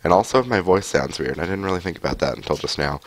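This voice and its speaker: A man's voice: low voice, lively intonation, fast talker, no echo. A young man with a cold, chatting casually as he streams a game on a headset mic at home, rambling with lots of fillers. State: has a cold. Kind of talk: casual gameplay commentary. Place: home room, indoors.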